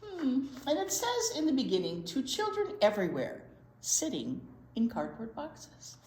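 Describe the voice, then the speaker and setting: Very animated, slow-paced woman reading to children. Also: high-pitched. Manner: expressive, exaggerated, playful. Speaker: children's storytime host and teacher. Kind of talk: read-aloud to young children. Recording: roomy echo, clear background noise.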